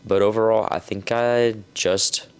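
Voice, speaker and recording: A man's voice: lowish voice, steady tone, measured pace, smooth. A man in his twenties speaking as a podcast guest, talking reflectively in casual chat. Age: 20s. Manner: reflective, thoughtful.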